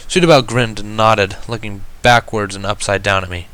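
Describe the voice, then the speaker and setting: A man's voice: fairly low pitch, steady tone, a little fast, fairly bright tone, clear, resonant tone, low-quality recording. A man reading a story aloud as a first-person narrator. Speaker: fan fiction reader.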